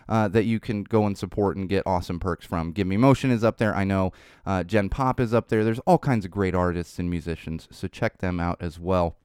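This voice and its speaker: A man hosting his podcast from a home studio, talking loosely with lots of fillers and repeated words, blunt and sardonic at times, and a bit hoarse. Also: fairly low pitch, no echo. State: losing his voice. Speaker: podcast host. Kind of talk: casual solo podcast talk. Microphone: studio mic with headphones.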